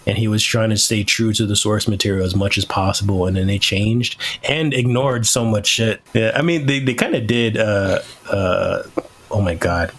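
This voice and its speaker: A man co-hosting a podcast in casual discussion, often halting as he searches for his words. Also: on the low side, fairly bright tone. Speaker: podcast co-host. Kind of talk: casual pop-culture discussion.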